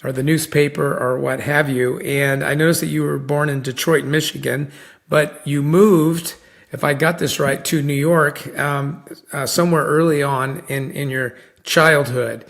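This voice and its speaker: A man's voice: on the high side, steady tone, a little slow, vocal fry, a bit bright. A man hosting a podcast interview, chatting casually and rambling with lots of fillers and restarts. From United States. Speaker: podcast host.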